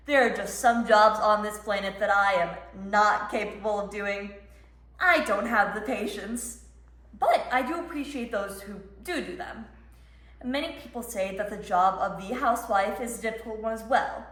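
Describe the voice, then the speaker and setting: Frantic mother played by a woman, stage monologue, high-pitched and emphatic, halting with heavy pauses, in an echoing room. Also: a bit bright. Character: frantic mother of a middle schooler, desperate and grieving. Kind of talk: dramatic interpretation monologue. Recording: echoey room, voice ringing off walls.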